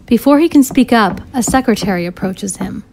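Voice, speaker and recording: A woman's voice: mid-range voice, expressive, fairly quick, creaky, darkish tone, low-quality recording. Woman reading screenplay scene directions, faint noise. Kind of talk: scripted screenplay narration.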